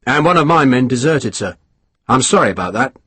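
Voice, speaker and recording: A man's voice: mid-range voice, expressive, fairly quick, fairly bright tone, breathy edge, dry recording. A man voicing a plain-spoken young army lieutenant who reports bluntly and respectfully. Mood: apologetic.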